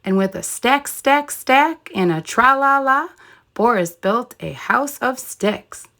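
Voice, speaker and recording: A woman's voice: fairly high pitch, animated, slow pace, bright, forceful tone. A woman narrating a children's story expressively, like a teacher reading aloud.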